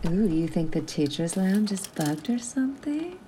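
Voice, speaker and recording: A woman's voice: mid-range voice, very animated, normal speed, dark tone, a little hiss or hum, close to an everyday voice. A woman in her thirties playing an anxious, self-doubting new schoolteacher with a wry edge, in a naturalistic audio drama. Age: 30s. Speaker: actor in an audio play.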